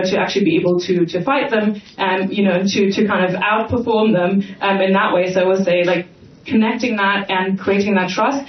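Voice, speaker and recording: Hesitant panel speaker in an echoey hall. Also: medium pitch. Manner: hesitant, many fillers. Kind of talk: conference panel remarks. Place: conference hall. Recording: echoey, muffled, distant mic with faint noise.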